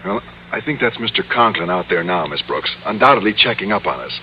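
A man's voice: low-pitched, very animated, fairly quick. An actor playing a bashful, naive male schoolteacher, hesitant and flustered, in an old radio comedy. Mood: nervous. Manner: hesitant, stammering, boyish. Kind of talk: 1950s radio comedy acting. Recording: muffled, noisy old radio broadcast.

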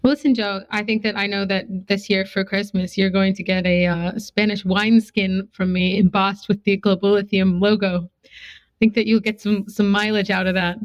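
A woman co-hosting a casual podcast from home, brisk and teasing with a dry sense of humor. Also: mid-range voice, level tone, dry recording, highs cut off. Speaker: podcast co-host.

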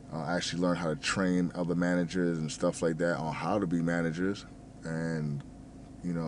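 Deep-voiced man mumbling through a casual ramble. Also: a little mellow, a little hiss or hum. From New Jersey. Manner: stumbles over words, many fillers, curses freely. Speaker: restaurant manager and fitness vlogger.

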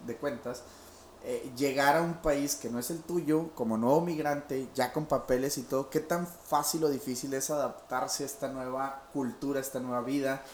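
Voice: mid-range voice, slight background noise. A host asking interview questions in a casual, rambling way, searching for words. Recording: roomy echo.

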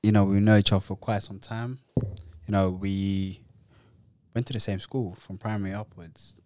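A man's voice: fairly low pitch, steady tone, normal speed, dark tone, slight background noise. A man who grew up partly in the Netherlands, chatting casually and rambling with fillers and restarts. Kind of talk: casual chat.

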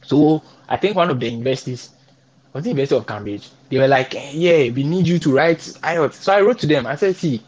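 A man's voice: fairly high pitch, quick pace, rough recording. A young man from Ghana with a Ghanaian English accent, giving motivational advice and acting out the emails he sent. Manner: animated, repeats key points, acts out emails he wrote. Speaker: scholarship guest speaker.

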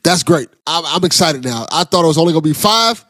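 A man's voice: a little high, expressive, quick pace, a bit bright, dry recording, studio quality. A man hosting a casual podcast interview in an enthusiastic, encouraging way. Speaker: podcast host.

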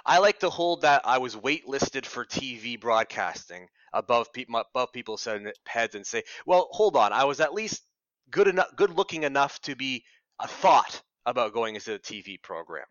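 A man's voice: mid-range voice, big pitch swings, moderate pace, fairly bright tone, no room sound. A young man with a Canadian accent from Ottawa, a radio reporter chatting casually in an interview and telling stories in a self-deprecating way on dull lo-fi audio. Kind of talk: casual conversational storytelling.

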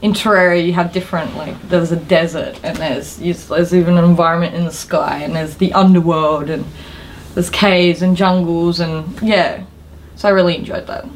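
A woman's voice: fairly low pitch, fairly even intonation, normal speed, a little hiss or hum, poor audio. A woman co-hosting a podcast, chatting casually about video games in an informal, hesitant way with trailing thoughts. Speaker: podcast co-host.

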